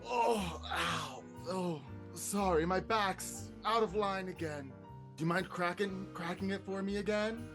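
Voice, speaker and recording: A man's voice: high voice, a little slow. Man playing a folksy old snowman over music. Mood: achy, groaning. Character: friendly old male animated snowman, folksy and wistful. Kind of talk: live table read of a comedy film script.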